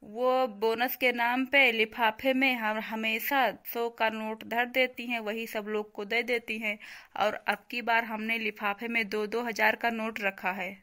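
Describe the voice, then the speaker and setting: A woman acts a young cartoon son who stands his ground with earnest argument. The voice is fairly high and very clean and smooth. It carries an eastern Hindi-belt accent. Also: flat delivery, unhurried, pushed and bright. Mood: earnest, reasoning. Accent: eastern Hindi belt (Awadhi-Bhojpuri). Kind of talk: amateur cartoon voice acting.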